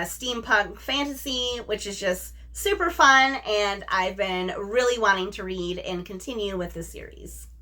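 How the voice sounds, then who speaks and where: A woman's voice: on the high side, pushed and bright. A woman hosting a book review video, chatting casually and enthusiastically to her viewers. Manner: enthusiastic, gushing.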